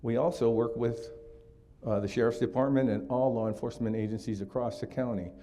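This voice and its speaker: A man's voice: mid-range voice, fairly even intonation, fairly quick, dark tone. A man in his sixties from Hawaii, giving a community talk for a district attorney's office, explaining steadily with frequent fillers.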